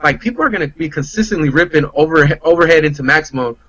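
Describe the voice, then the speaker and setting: A man's voice: a little high, lively intonation, fast, sharp tone, no echo. A man talking casually and loosely about fighting games, in a slangy, rambling way. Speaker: guest player on a fighting game talk show. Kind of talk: casual game discussion.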